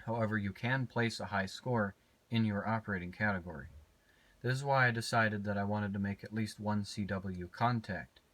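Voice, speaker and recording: An American man with a fairly low voice speaks as a ham radio hobbyist. His delivery is measured and matter-of-fact, suited to casual narration and on-air exchanges. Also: a bit bright. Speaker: amateur radio operator and vlogger.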